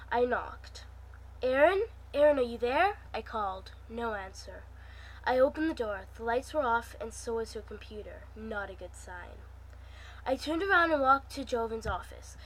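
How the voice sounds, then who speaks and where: A woman's voice: fairly high pitch, low-quality recording. A woman hosting her own channel, chatting informally and reading a fan story aloud in an amateur, casual way.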